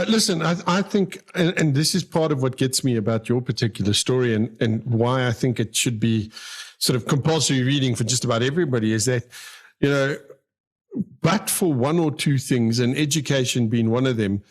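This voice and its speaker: A man's voice: medium pitch, normal speed. A man in his fifties hosting a radio interview, talking in a rambling way with many fillers and restarts. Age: 50s. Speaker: radio host. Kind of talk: casual interview chat.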